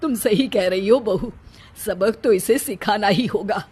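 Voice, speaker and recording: A woman's voice: mid-range voice, measured pace, darkish tone, slightly rough. A woman voicing an older, motherly mother-in-law in a cartoon story, fond yet reproving. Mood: exasperated. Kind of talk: Hindi cartoon story dialogue.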